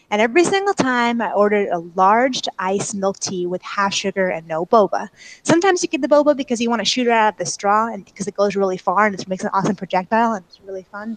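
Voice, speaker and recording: A woman's voice: mid-range voice, poor audio. A woman in her twenties with a Californian American accent gives an informal, interactive presentation to students over a video call, in an enthusiastic, casual manner. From California, United States. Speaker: guest speaker, explorer and storyteller. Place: live video call with screen sharing.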